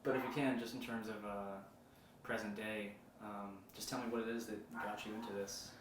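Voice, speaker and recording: A man's voice: on the low side, flat delivery, moderate pace. Man from upstate New York as documentary interviewer, rambling delivery, far from the mic in a noisy, echoey room. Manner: loose and rambling, says like a lot. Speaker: interviewer and one-man film crew.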